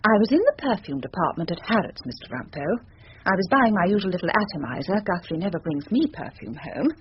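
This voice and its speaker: Imperious upper-class English wife, animated delivery. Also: female voice, on the low side, fast talker. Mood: indignant, tart. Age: 30s. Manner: crisp, imperious, tartly precise. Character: grimly determined, haughty upper-class wife in her thirties. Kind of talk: radio drama acting. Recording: dull, slightly noisy old radio recording.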